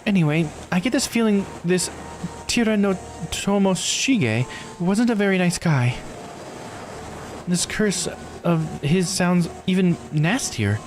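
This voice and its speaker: A man plays an inquisitive visual novel investigator, lifting the voice well above a normal talking pitch. The manner is casual and plain-spoken, with music playing behind. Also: lively intonation, slow pace. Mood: uneasy. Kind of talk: visual novel character read-aloud.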